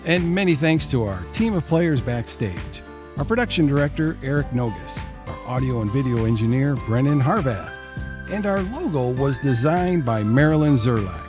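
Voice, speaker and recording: A man's voice: mid-range voice, lively intonation, normal speed, over music. A man hosting an audio theater program, reading scripted credits as an announcer. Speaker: host and announcer.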